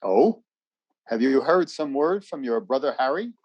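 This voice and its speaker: A skeptical, officious military major in an old-time radio drama. He is formal and insistent, with a very lively pitch. The recording is dry. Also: measured pace, dry recording, muffled recording. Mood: polite, probing.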